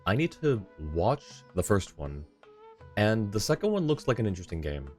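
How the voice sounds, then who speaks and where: A man's voice: fairly low pitch, sing-song, normal speed, a little mellow. A slightly tired man chatting casually and politely with viewers as a live game streamer. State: a little tired. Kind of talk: casual chat with viewers while gaming. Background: faint game music.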